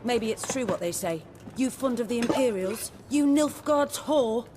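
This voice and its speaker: A woman's voice: fairly high pitch, animated, unhurried. A woman playing a bitter, grieving villager in a fantasy game, coarse and accusing. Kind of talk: video game dramatic acting. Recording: music behind.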